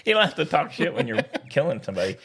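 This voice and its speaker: An American man co-hosting a sports podcast in casual, slangy, joking banter, boisterous and quick to laugh. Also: a little high, big pitch swings, gravelly, fairly bright tone, slightly rough.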